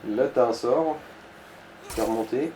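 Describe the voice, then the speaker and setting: A man's voice: mid-range voice, a little slow, dark tone. A man giving casual, explanatory game commentary, guiding a player with coarse slang. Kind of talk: casual let's play commentary. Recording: roomy echo, background noise.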